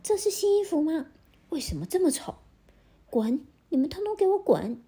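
A woman voicing a vain, short-tempered king who shouts and fusses, in a children's storybook. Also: very high voice, big pitch swings, moderate pace, voice pushed up. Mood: furious. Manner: shouting, fussy and demanding.

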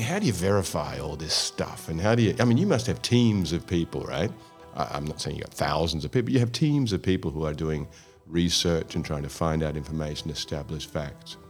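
A man from Australia hosting an interview, chatty and telling stories as he asks questions. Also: low voice. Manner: chatty, storytelling. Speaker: interview host. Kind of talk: conversational interview.

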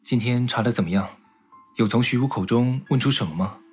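A man's voice: medium pitch, slightly rough, soft background music, lightly acted. Actor as a composed, considerate young man. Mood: calm, thoughtful. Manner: measured, polite. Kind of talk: Mandarin audio drama acting.

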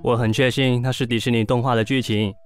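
Man playing a sensible, calm grown-up son, medium pitch. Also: quick pace, soft background music, close to an everyday voice. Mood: calm, patient. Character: sensible, reassuring young adult son. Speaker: voice actor in an audio drama. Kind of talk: audio drama dialogue.

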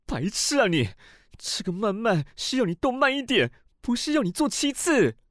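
A man's voice: fairly high pitch, sing-song, normal speed. A man with a Taiwanese Mandarin accent playing a proud, foul-mouthed, easily flustered police officer who blusters, grumbles and sputters in an audio drama. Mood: indignant scolding. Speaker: voice actor.

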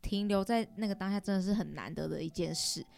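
A woman's voice: mid-range voice, fast, creaky, darkish tone. A young woman with a Taiwanese Mandarin accent, chatting casually as a guest on a podcast with friends.

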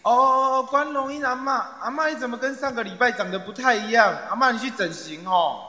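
A man's voice: very high voice, a little fast, pushed and bright. A man playing a cheeky, baffled tour guide in an audio drama, teasing and exclaiming in Taiwanese-accented Mandarin. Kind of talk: live audio drama acting. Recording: echoey, reverberant online audio room.

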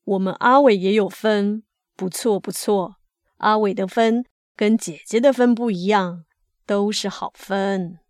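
A man's voice: sits high, steady tone, unhurried, no echo, clean studio sound. Woman acting out a proud, doting working-class dad. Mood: doting, praising.

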